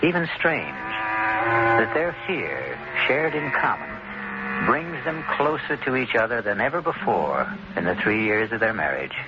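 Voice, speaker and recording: An actor as the ominous host of an old radio suspense series, narrating dramatically on a noisy old broadcast recording. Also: male voice, lowish voice, sing-song, unhurried, highs cut off. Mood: ominous, musing.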